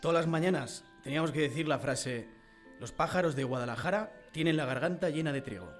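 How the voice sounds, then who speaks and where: A man's voice: fairly high pitch, brisk, lightly acted. Man playing a stern father, music underneath. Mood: grim, reminiscing. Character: stern tailor father warning his young son about school. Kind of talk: radio drama acting.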